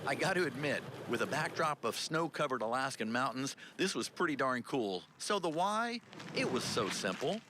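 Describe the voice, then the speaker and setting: A man's voice: mid-range voice, sing-song, moderate pace, noisy background. An American man presenting an upbeat local TV news feature in a playful, folksy style.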